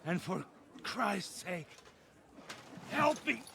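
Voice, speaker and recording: A commanding, irritable medieval lord, barking orders in a very high, strained, creaky voice. Loud outdoor noise surrounds the game-drama performance. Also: male voice, sing-song, extremely slow, full character voice. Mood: irritated, commanding. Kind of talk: video game cutscene acting, medieval drama.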